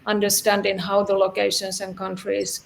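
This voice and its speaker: Woman host, very gravelly and flat-toned, affirming and hesitant. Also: mid-range voice, normal speed. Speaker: podcast host. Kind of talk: interview questions and chat.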